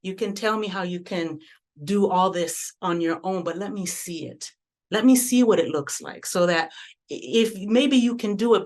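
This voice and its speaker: A woman from the American South speaking earnestly on a panel discussion, reflective and often restarting her sentences as she works out her thoughts. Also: lowish voice. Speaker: panelist and community arts researcher.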